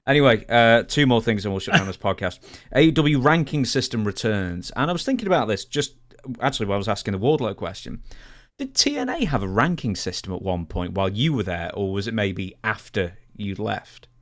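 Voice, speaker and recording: A man's voice: on the low side, big pitch swings, brisk, a bit bright. A man co-hosting a podcast in a casual, conversational style, with dry, wry remarks as he steers the discussion. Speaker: podcast co-host.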